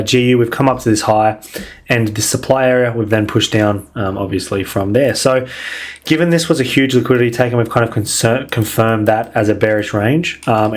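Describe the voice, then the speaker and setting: A young man losing his voice and sounding croaky, casually walking through a weekly trading outlook with lots of ums. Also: medium pitch. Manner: relaxed, filler-heavy, many ums. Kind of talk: casual market analysis over charts.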